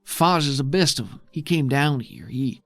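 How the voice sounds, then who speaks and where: A man playing a bitter, worried, steadfast man defending his underground city in a tabletop game, with gruff folksy speech. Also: medium pitch, expressive, moderate pace, fairly bright tone, dry recording. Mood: bitter, indignant.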